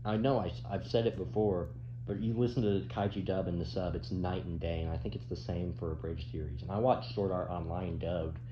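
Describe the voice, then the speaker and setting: Deep-voiced young man rambling in a roomy space. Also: steady tone. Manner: rambling, halting, swears casually. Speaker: commentator. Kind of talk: casual off-the-cuff opinion chat. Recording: roomy, dull, with clear background noise.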